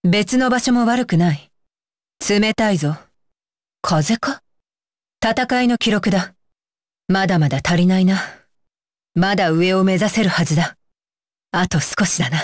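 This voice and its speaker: A woman's voice: medium pitch, unhurried, long pauses, dry recording, clean studio sound, natural voice. A woman voicing a stern, dutiful young female ninja bodyguard for an anime game, with clipped, commanding and blunt phrasing. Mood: calm, instructive. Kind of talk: Japanese anime game voice lines.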